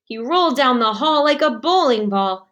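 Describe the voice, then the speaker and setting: A woman's voice: high voice, expressive, normal speed, a bit bright, muffled recording. A woman reading a children's storybook aloud expressively, in an instructive manner for young listeners. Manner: asks listeners simple questions, instructive.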